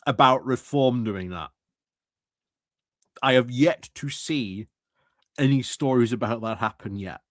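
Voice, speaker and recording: A man's voice: mid-range voice, no echo, clean studio sound. A man giving casual, emphatic political commentary as a host, often repeating points for stress. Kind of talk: casual political commentary over a played clip.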